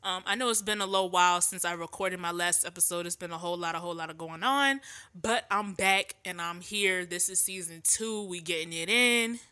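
A woman's voice: lowish voice, fairly quick, pushed and bright. A congested woman hosting a casual podcast from home, chatty and opinionated, with lots of fillers. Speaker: podcast host.

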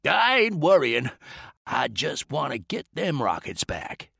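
A man voicing a gruff, blustering older male American general with a folksy way of talking. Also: on the high side, wildly swooping pitch, takes it slowly, pushed and bright, dry recording, clean studio sound, pitched above the performer's own voice, exaggerated voice. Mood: grumbling.

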